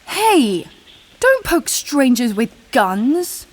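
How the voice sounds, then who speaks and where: Feisty, indignant young woman for audio drama: high voice, swooping pitch. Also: sing-song, very slow, a little hiss or hum, heavily acted.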